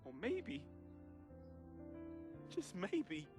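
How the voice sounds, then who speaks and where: An actor playing a remorseful, devoted young man who confesses and says farewell in a dramatic video game monologue, with slangy phrasing and deliberate pauses. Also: high voice, wildly swooping pitch, exaggerated voice. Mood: emotional, solemn. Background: music.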